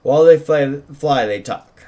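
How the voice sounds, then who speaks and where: A man's voice: medium pitch, unhurried, warm tone. A man co-hosting an informal table read, narrating stage directions from a script. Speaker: writer and co-host reading stage directions.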